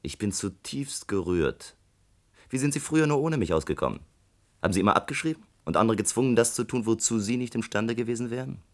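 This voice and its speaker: A man's voice: on the low side, expressive, a little fast. A man playing a brazen young student blackmailer in a German radio play, sardonic, taunting and smug. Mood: scornful. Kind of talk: German radio drama acting.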